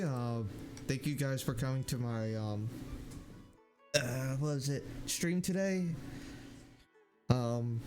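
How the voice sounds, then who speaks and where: A man's voice: mid-range voice, darkish tone. A man streaming a game, making casual, laid-back commentary in short asides and repeating himself. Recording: game music behind the voice.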